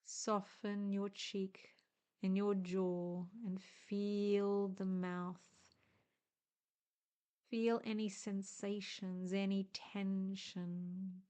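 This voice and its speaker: A woman guides a meditation in a very dark, mellow voice. She speaks extremely slowly, leaving long pauses between short phrases. Her tone stays even and hushed, gentle and soothing throughout. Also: medium pitch, smooth.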